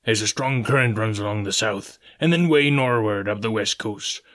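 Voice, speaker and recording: A male narrator plays an old one-legged pirate from an adventure storybook. He speaks in salty, folksy sailor dialect at a slightly low pitch. His manner is genial on the surface, coaxing and sly. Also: moderate pace, fairly bright tone, natural voice. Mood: deferential, sly. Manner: folksy nautical dialect, ingratiating.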